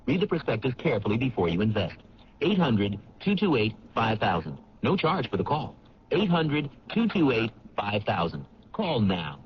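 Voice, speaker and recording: A man announcing a 1970s radio commercial in a smooth, persuasive sales delivery. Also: medium pitch, very animated, normal speed. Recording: dull lo-fi radio with clear hiss.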